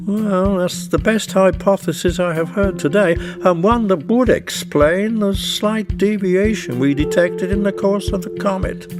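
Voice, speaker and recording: A man acts out an Edwardian scientist in an audio drama, intense and grave. The voice sits high and strained upward, pressing each explanation with urgent foreboding. Music plays underneath. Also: a little slow, few pauses. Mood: excited, urgent. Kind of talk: audio drama character acting.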